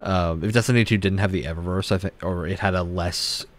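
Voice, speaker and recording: A man's voice: low-pitched, steady tone, brisk, faint music behind. A man chatting casually while streaming a game, sounding critical and bored. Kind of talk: casual gaming commentary.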